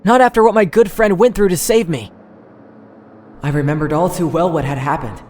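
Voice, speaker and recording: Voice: medium pitch, normal speed, a little mellow, a little hiss or hum, close to an everyday voice. Earnest teenage boy narrator in roomy echo , acted. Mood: determined. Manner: earnest, dramatic, inner-monologue delivery. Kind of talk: dramatic first-person game narration, fan dub. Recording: roomy echo, music underneath.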